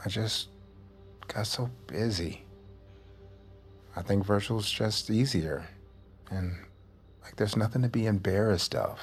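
An actor playing a soft-spoken man who answers hesitantly. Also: fairly low pitch, takes it slowly, pauses often, faint music behind.

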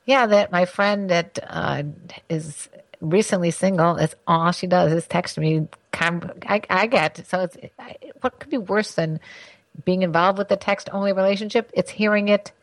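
A woman's voice: on the low side, moderate pace, no room sound. Chatty laughing mother on a podcast. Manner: chatty, laughs often, playful teasing. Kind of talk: casual podcast chat.

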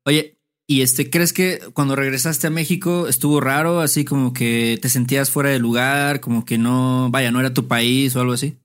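A male co-host poses questions casually. He pauses and fills gaps while working out what he wants to ask. His voice sits at a middle pitch. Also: steady tone, a bit bright. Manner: hesitant questioning with fillers. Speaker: podcast co-host.

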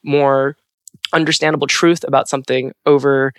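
A man's voice: mid-range voice, little pitch movement, moderate pace, fairly bright tone, clean tone, dry recording, clean studio sound. A man who works as a Foley artist, talking thoughtfully in an interview and searching for his words. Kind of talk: interview talk.

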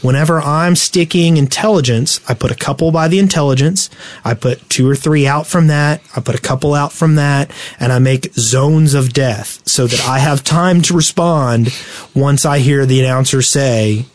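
A man co-hosting a gaming podcast, explaining things casually in a slightly halting way. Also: medium pitch, dry recording. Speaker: podcast co-host.

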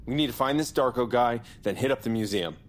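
A man's voice: medium pitch, quick pace, a little hiss or hum, close to an everyday voice. An actor playing a cocky, wisecracking guy who talks in flippant slang in an audio drama. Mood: brisk, matter-of-fact.

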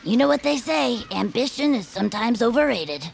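A woman voicing a plucky, easygoing inventor and adventurer with a gravelly voice and plainspoken manner in a comedy audio drama. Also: a little high, animated, moderate pace. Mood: relaxed. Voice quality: very creaky, gravelly. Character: plucky, laid-back inventor and adventurer Commander Hatch.

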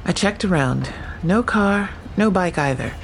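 A persistent, plain-spoken police investigator in an audio drama. Her voice is fairly low with a heavy creak, matter-of-fact and inquisitive, over faint background noise. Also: animated, measured pace.

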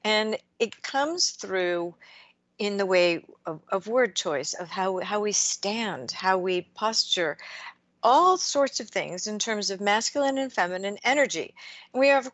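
A woman hosting a radio interview, speaking in a measured, reflective way and asking long framing questions. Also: medium pitch, lively intonation, fairly bright tone, dry recording, lo-fi sound. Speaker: radio show host.

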